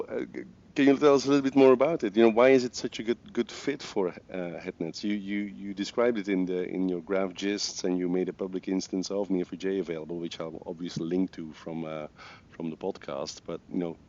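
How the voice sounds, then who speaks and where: A Belgian man with a Dutch accent hosts a casual podcast interview. His voice sits very low, and he stumbles and repeats words while shaping questions. The recording is dull and lo-fi. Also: steady tone, a little fast, a little mellow. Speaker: podcast host.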